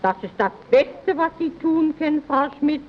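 An actress playing a proud, chatty, assured housewife who gives advice in a scripted vintage radio advert. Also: female voice, high-pitched, lively intonation, normal speed, a little hiss or hum. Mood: self-assured. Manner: assured, didactic. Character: proud, chatty housewife and mother praising detergent. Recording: dull early radio recording.

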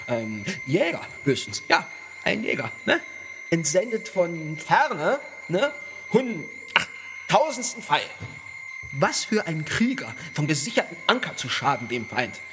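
Sneering tyrant, high-pitched, nagging whine, drawn-out stressed syllables, slow delivery, theatrical radio play, noisy home recording. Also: male voice. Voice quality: whiny, nagging tone. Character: Lykos, whiny, sneering, taunting male tyrant. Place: home, online video call.

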